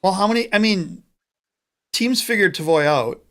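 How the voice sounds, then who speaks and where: A man from the United States co-hosting a casual sports podcast over a remote call, chatty and joking, with quick asides. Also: high-pitched, lively intonation, fairly bright tone. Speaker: podcast co-host.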